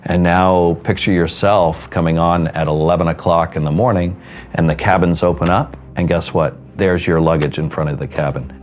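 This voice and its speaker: A man's voice: low-pitched, steady tone, normal speed. A man from Seattle, a cruise ship hotel director, explaining operations with pride in a documentary interview. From Seattle, United States.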